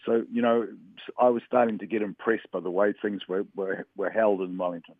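A man's voice: on the low side, fairly even intonation, brisk, no echo. A man from Western Samoa who talks in a rambling, hesitant way with many fillers, calling into a radio sports chat. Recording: tinny phone line.

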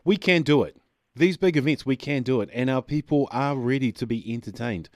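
A man's voice: mid-range voice, a little fast, dry recording. Man hosting radio sports chat. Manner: steers the talk, brief prompts and topic setups. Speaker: radio host.

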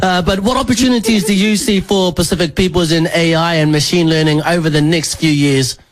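A New man hosts a radio interview in a relaxed, friendly way. He slips easily between Māori and English, with a slightly higher voice. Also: fairly quick. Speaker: radio host.

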